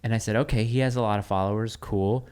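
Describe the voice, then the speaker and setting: A man's voice: lowish voice, fairly even intonation, a little mellow, smooth. A man hosting a casual podcast chat, talking easygoing and drawing out some phrases. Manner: easygoing, drawn-out phrasing, chuckles. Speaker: podcast host.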